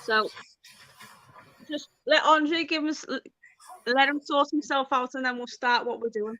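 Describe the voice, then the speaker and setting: Bright, high-voiced Scouse woman. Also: unhurried, no echo. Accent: Liverpool (Scouse). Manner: chatty, bubbly, laughs often, talks to viewers. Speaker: livestream host. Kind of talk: casual live stream chat. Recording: dull lo-fi stream audio.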